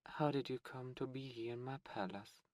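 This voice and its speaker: A man's voice: mid-range voice, moderate pace, darkish tone, no echo, studio quality, lightly acted. A man playing a flirtatious, imperious demon prince in an intimate ASMR roleplay, with theatrical, courtly phrasing. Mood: intrigued, flirtatious. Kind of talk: ASMR roleplay audio drama, intimate close delivery.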